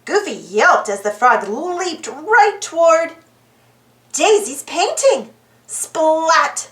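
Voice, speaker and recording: A woman reading a children's storybook aloud as narrator in an animated style. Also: very high voice, drawn-out words, reverberant.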